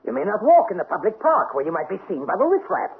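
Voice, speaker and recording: An actor playing a haughty, petty and domineering French count in a vintage radio drama, with a pompous manner. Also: male voice, high voice, huge pitch swings, fast, husky, heavily acted. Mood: imperious. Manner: pompous, imperious. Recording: tinny old radio recording.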